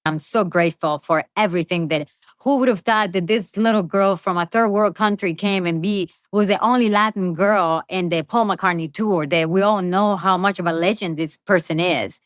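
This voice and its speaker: A woman's voice: fairly low pitch, moderate pace, no echo, studio quality. A middle-aged woman with a Venezuelan accent, a native Spanish speaker, talking casually and at length as a podcast guest. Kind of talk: casual interview conversation.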